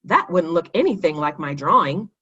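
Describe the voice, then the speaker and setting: A woman's voice: lowish voice, big pitch swings, normal speed, a bit bright, breathy edge, dull audio, full character voice. A woman voicing an eager, bossy schoolboy with an emphatic, take-charge manner in a children's storybook. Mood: stubborn.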